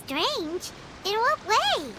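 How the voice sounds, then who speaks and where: Tiny female fairy, childlike, chirpy, piercingly high pitch, very animated, video game companion. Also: extremely slow, very noisy, exaggerated voice. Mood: curious, puzzled. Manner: squeaky, speaks of herself in third person. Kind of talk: video game character dialogue. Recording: prominent music behind the voice.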